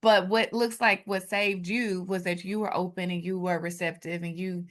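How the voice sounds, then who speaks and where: A woman's voice: fairly low pitch, level tone, moderate pace. Affirming woman interview host. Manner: warm, affirming, rambling build-up to questions. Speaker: podcast host. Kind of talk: interview questions.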